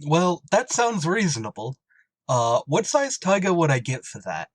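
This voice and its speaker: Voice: medium pitch, big pitch swings, moderate pace, no echo. An actor doing a dopey, drawling waiter. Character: slow-witted adult male tavern waiter. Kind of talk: old-time radio comedy acting.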